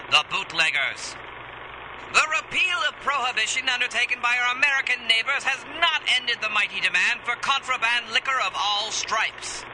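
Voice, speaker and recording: Casting: declamatory 1930s newsreel narrator, strikingly high voice over clear background noise. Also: male voice. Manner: grandiose, declamatory. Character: excitable 1930s newsreel announcer.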